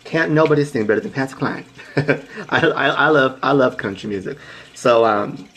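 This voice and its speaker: A young man in his twenties talks to his live viewers in a loose, playful way, his voice sitting at a middle pitch. He rambles and restarts, then leaps up high when he gets excited. He keeps stopping to greet viewers by name. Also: moderate pace. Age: 20s. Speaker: livestream host. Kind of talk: casual motivational storytelling to live viewers.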